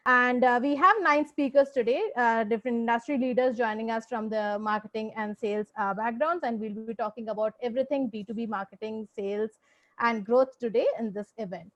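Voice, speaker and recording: Female webinar host, fairly high voice, dull lo-fi call recording. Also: fairly even intonation, fairly quick. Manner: brief, scripted welcome with fillers. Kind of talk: introducing a webinar. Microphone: video call.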